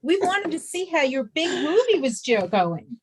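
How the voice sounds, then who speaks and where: A woman's voice: high voice, very animated, moderate pace. A woman playing a disappointed, meddling middle-aged mother in a comedic table read. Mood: fussing, disappointed.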